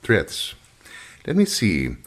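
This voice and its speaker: An actor playing an affable, wry male professor in an old-time radio mystery, chatty and pausing as he recalls things. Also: on the low side, huge pitch swings, a bit bright, heavily acted. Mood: wry, obliging.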